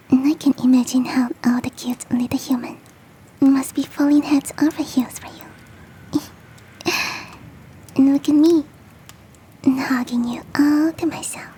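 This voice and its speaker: A woman voicing a big, fluffy, motherly and flirty yeti in a soft, teasing, whispered ASMR roleplay. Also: sits high, poor audio. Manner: tender, teasing, coaxing.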